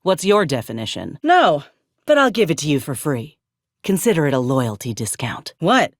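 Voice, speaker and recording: A folksy soldier, close and dry. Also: female voice, sits low, sing-song, normal speed, dry recording. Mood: dry, wry. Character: frank, loyal frontier woman soldier with dry wit. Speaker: video game voice actor. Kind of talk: scripted video game character dialogue.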